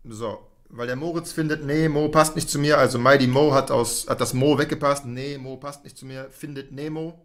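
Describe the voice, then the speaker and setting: A battle rapper performing a live round with a rhythmic, punchy delivery that builds toward a payoff. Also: male voice, medium pitch, steady tone, normal speed, hardly any pauses.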